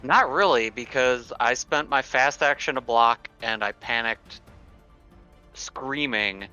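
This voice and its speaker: A man's voice: mid-range voice, slow pace, bright, forceful tone, clean tone. A man chatting casually as a player at a tabletop roleplaying game, on a somewhat lo-fi mic. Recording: dull lo-fi mic sound. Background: background music.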